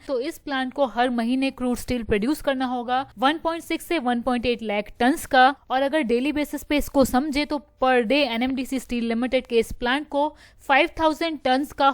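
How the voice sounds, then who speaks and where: A woman's voice: sits high, smooth, no room sound. A woman hosting a stock market news video, explaining steadily and slipping English phrases into her Hindi.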